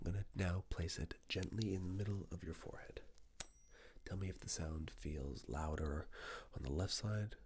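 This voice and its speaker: A man speaking in a hushed ASMR medical roleplay style, giving gentle step-by-step instructions as a clinician. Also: deep voice, normal speed, a little hiss or hum. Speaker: ASMR performer playing a clinician giving a cranial nerve exam. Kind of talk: ASMR medical roleplay, soft near-whisper.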